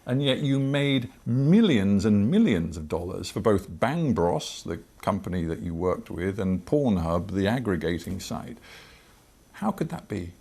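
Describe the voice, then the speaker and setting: Low-voiced older British male interviewer. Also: big pitch swings, creaky, mellow, husky. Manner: measured, deliberate, probing questions with emphatic pauses. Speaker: television interviewer. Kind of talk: probing broadcast interview. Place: indoor broadcast interview.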